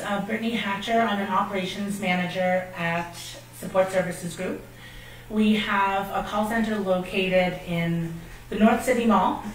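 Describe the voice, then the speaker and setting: A young adult woman giving an informal company presentation as an operations manager. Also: medium pitch. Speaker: call center operations manager. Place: meeting room indoors, at a lectern. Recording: very echoey room, distant mic, faint noise.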